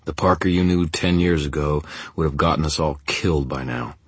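A man playing a gruff gang boss in an audiobook, with a growling sandpaper rasp and a measured, condescending manner. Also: extremely low pitch, no room sound. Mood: weary menace. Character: gruff male gang boss, calm, weary, menacing.

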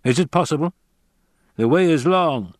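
A man reading an audiobook, voicing a blunt, cryptic male desert tribesman who speaks slowly and asks direct questions. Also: on the high side, animated, dry recording, clean studio sound, voice pushed up, lightly acted. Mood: stern, blunt. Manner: slow, measured, direct questioning. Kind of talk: audiobook character voice.

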